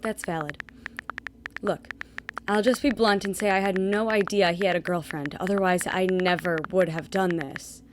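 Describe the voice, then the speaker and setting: A woman's voice: fairly low pitch, steady tone, moderate pace, noisy background, natural voice. A young woman playing a blunt, exasperated other woman in an audio drama. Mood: defensive, blunt. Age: young adult.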